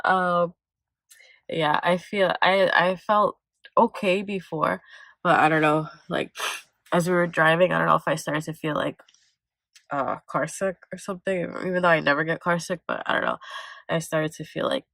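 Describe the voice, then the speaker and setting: A woman's voice: deep voice, steady tone, moderate pace, vocal fry, a bit bright, dry recording. A young woman vlogging from a parked car, sounding stuffy and congested, rambling with lots of fillers and self-corrections. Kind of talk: casual vlog chat.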